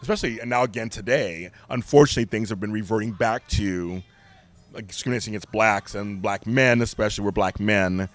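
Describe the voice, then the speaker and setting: Deep voiced older-ish guy on a podcast, big animated delivery. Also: a little fast, soft background music. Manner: animated, emphatic storyteller. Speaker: guest. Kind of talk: candid conversation.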